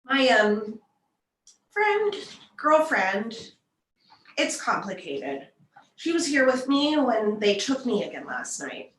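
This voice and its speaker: A woman's voice: on the high side, big pitch swings, slow pace, pauses often, a bit bright, full character voice. A woman acting a frightened, defensive alien abductee in a script table read, on a roomy, distant microphone. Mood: haunted, confiding. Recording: roomy, distant mic, noisy line.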